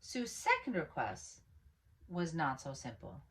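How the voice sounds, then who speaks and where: Low-voiced woman reading a children's story. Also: huge pitch swings, measured pace. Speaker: storyteller reading a picture book aloud. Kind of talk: expressive children's story read-aloud.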